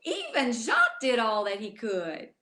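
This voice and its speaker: A woman from Louisiana reading a bedtime story aloud to children in an expressive way, speaking directly to her young listeners. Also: a little high, measured pace, reverberant.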